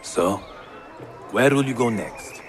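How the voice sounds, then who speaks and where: An actor playing an aged, wise and weary assassin mentor, grave and reflective, in video game cutscene style. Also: male voice, medium pitch, animated, slow pace. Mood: kindly, grave. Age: older. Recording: music behind the voice.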